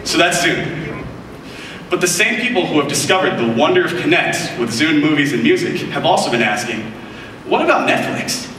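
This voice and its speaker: A man's voice: a little high, very animated, moderate pace, a bit bright, far from the mic. Young guy doing an upbeat stage demo, echoey hall, crowd noise behind. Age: young adult. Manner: upbeat, enthusiastic presenter. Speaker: product demonstrator. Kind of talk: scripted live product demo. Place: large keynote stage in front of a screen. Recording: echoey hall audio picked up from afar.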